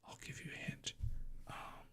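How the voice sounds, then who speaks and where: A man whispering softly in a casual, unhurried ASMR chat with viewers, close to the microphone. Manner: soft whispering and murmuring, unhurried. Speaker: ASMR live streamer.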